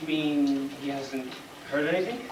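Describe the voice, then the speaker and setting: A man's voice: on the high side, unhurried, close to an everyday voice. A man playing a pompous, exasperated storybook king in a stage comedy, speaking with dry deliberation. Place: school stage, live play performance. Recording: distant, noisy, echoey room recording of play.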